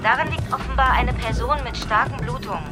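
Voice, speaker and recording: A woman's voice: a little high, fairly quick, loud music behind the voice, lightly acted. Clipped female police dispatcher played by an actress. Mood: businesslike. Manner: clipped, procedural. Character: terse, businesslike police radio dispatcher. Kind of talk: radio play acting.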